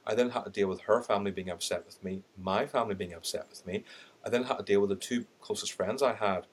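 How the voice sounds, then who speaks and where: A man's voice: lowish voice, fast talker, husky. A middle-aged man with an Irish accent, a podcast guest telling his story candidly and animatedly, acting out what he thought at the time. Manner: animated, acts out quoted thoughts with laughing asides. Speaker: podcast guest, sales and LinkedIn trainer.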